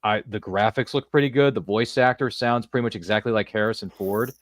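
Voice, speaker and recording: A man's voice: lowish voice, fairly quick, no room sound. A man hosting a podcast, chatting casually and jokingly, quoting pop culture and reading out viewer comments on a livestream. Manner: jokey, pop-culture quoting, repeats phrases. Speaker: podcast host.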